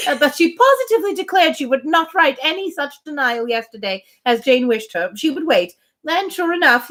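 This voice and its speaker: A woman's voice: high-pitched, fairly bright tone. A woman reading a classic novel aloud, voicing a middle-aged spinster who chatters on in a breathless, anxiously grateful, repetitive ramble.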